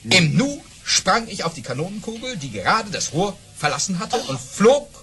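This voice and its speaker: An actor playing a boastful baron and officer, telling tall tales grandly and dramatically in for a radio play. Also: male voice, high-pitched, big pitch swings, normal speed, a bit bright. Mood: grand, dramatic. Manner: grandiose, dramatic, amused by his own boasts. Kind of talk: theatrical radio play storytelling.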